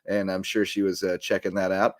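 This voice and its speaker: A hoarse man co-hosting a local sports podcast in a casual, rambling way. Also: low-pitched, little pitch movement, brisk, dry recording, studio quality. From South Carolina. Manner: rambling, filler-heavy. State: hoarse, tired voice. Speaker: podcast co-host.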